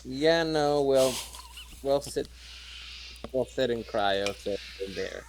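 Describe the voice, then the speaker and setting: A man's voice: medium pitch, expressive, slow, a little mellow, a little hiss or hum, low-quality recording. A man talking casually as a player in a tabletop role-playing game.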